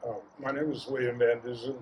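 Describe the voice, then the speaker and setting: A man giving an informal, anecdotal tribute at a memorial, speaking haltingly and repeating words. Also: mid-range voice, little pitch movement. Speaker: memorial speaker, friend of the deceased. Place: outdoor garden gathering. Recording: dull lo-fi audio with clear noise.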